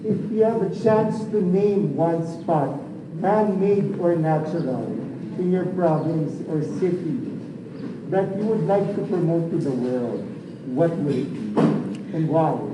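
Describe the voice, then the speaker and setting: A man's voice: fairly high pitch, a little slow. A man asking formal questions as a pageant interviewer. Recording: distant mic in noisy echoey room.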